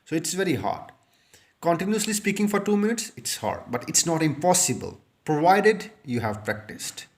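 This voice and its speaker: A man's voice: fairly high pitch, big pitch swings, moderate pace. Urdu-native male tutor, 30s, off-the-cuff phone-camera lesson, earnest, lots of "uh" and restarts. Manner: earnest, repetitive, with many fillers and restarts. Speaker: IELTS speaking tutor. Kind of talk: unscripted tutorial monologue to camera. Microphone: phone held close, front camera.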